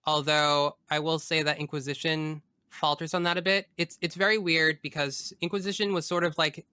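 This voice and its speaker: A man's voice: on the high side, pushed and bright, clean tone, no room sound. A man talking casually as a podcast guest about video games, opinionated and emphatic, often wandering off into asides.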